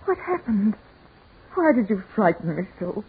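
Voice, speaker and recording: An actress playing a frightened, pleading woman in a 1940s radio horror drama, with halting, theatrical delivery. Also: mid-range voice, sing-song, slightly rough, narrow, tinny audio, heavily acted. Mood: shaken, subdued. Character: Linda, frightened woman, pleading and easily overcome by terror. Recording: noisy, band-limited 1940s radio recording.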